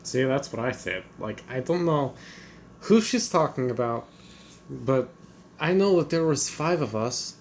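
A woman doing a deep-voiced, dismissive Croatia. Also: a little slow, slight background noise, exaggerated voice. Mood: placating. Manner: flatly denying, evasive, offhand. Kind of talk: fan comedy podcast acting.

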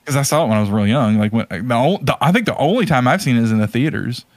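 A man's voice: mid-range voice, lively intonation, quick pace, dry recording. A man with a mid-range voice chats as a podcast co-host. He is conversational and opinionated, and the sound is dry, with hardly any room to it. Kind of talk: casual chat about movies.

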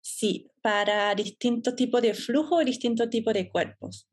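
Podcast guest: German woman, thirties, fairly high voice, easygoing and explanatory. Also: moderate pace, breathy edge. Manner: relaxed, explanatory, laughs easily. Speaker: entrepreneur guest. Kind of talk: podcast interview.

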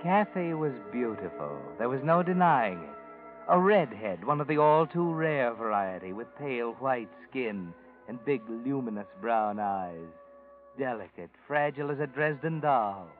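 A man's voice: mid-range voice, expressive, measured pace, faint music behind, close to an everyday voice. Male actor as a shadowy 1940s radio narrator, solemn and ominous, tinny broadcast sound. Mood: knowing. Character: mysterious brooding male night-walking narrator.

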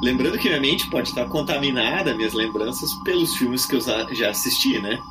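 A man's voice: mid-range voice, animated, a little fast, rough recording. Brazilian man telling eerie stories over music. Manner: colloquial, full of slang interjections. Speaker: podcast guest. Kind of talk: casual spoken storytelling of eerie personal experiences.

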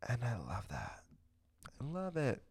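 A man's voice: mid-range voice, huge pitch swings, a little slow, husky. A man hosting a podcast in a casual, gossipy chat, talking with a stuffy nose and gushing, opinionated comments. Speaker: podcast host.

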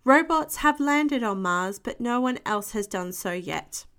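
Old male rabbit astronaut, done by a female reader: hearty, rousing storybook mission leader, high-pitched. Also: expressive, measured pace, a bit bright. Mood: instructive, excited. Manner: booming, rousing.

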